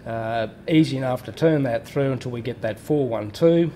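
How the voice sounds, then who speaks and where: A man's voice: medium pitch, normal speed, warm tone, a little hiss or hum. A man in a workshop explaining a welding machine step by step, plain-spoken with fillers and restarts. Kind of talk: hands-on technical explanation.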